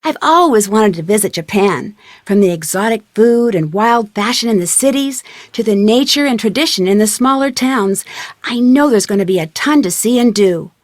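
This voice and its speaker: A woman's voice: medium pitch, lively intonation, moderate pace, no echo, studio quality, natural voice. A woman voicing a young female amateur detective in an adventure video game, polite, earnest and curious. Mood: bright, narrating. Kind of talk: scripted adventure game dialogue.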